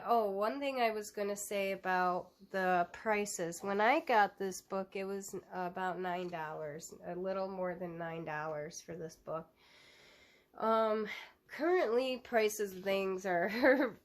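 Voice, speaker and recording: A woman with a stuffy nose from a cold talks slowly through a coloring book flip-through. She chats casually and unhurriedly, often pausing on "um" and starting a phrase over. Her voice sits at an ordinary middle pitch. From United States. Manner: chatty, with frequent ums and restarts.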